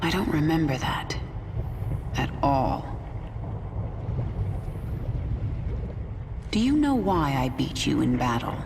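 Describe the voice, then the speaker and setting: A woman's voice: very deep voice, sing-song, moderate pace, gravelly, noisy background, heavily acted. A woman playing a cold, vengeful queen of an alien swarm in a video game, speaking in an imperious, terse, controlled way. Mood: troubled, demanding. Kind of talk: dramatic video game acting.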